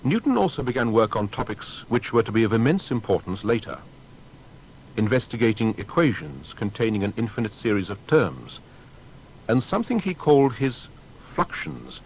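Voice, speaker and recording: A man narrating a scripted documentary biography in a formal, measured storytelling manner. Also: lowish voice, big pitch swings, slight background noise, poor audio.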